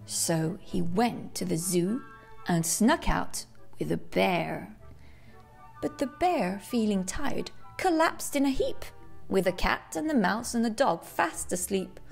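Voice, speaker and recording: A woman's voice: mid-range voice, animated, slow, creaky. A woman narrating a rhyming children's storybook aloud with theatrical, dramatic phrasing. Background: classical music.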